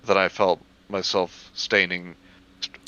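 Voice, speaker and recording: A man reading a first-person horror story aloud in measured narration, stumbling over the occasional word. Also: low voice, little pitch movement, sharp tone, lo-fi sound.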